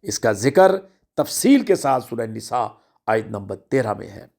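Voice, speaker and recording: A male Islamic preacher with a heavily creaky, gravelly voice at a middling pitch. He speaks slowly and deliberately, exhorting listeners with emphatic oratory and repeating key phrases for weight. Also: very animated, a little mellow. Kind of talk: religious sermon with recited citations.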